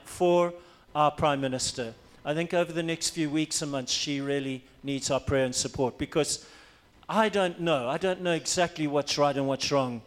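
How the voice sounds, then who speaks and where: A man's voice: fairly high pitch, a bit bright. A man from Africa, a pastor preaching a sermon, emphatic and repeating his key phrases for weight.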